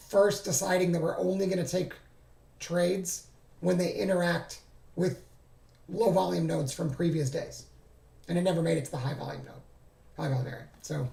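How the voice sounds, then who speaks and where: A man walking through his charts on a casual screen-share, thinking aloud and often stopping to correct himself. His voice is gravelly and fading, and he sits a little high with a mellow, darkish tone. The room adds a clear echo. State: losing his voice. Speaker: trading streamer.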